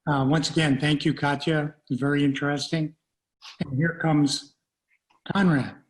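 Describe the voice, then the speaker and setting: A man moderating a panel on a video call, guiding the audience in a measured, organizing way. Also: a little high, fairly even intonation, rough recording.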